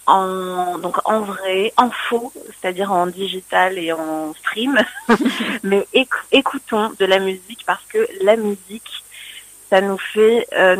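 A woman's voice: medium pitch, a bit bright, dry recording, rough recording. A woman singer-songwriter being interviewed on the radio, hesitant and full of fillers and restarts. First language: French.

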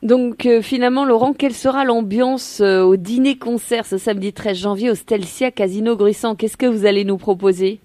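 A woman's voice: on the high side, steady tone, normal speed, few pauses, dark tone, no echo. A woman hosting a local radio interview, promoting an event in an upbeat way and reading out its details. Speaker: radio host.

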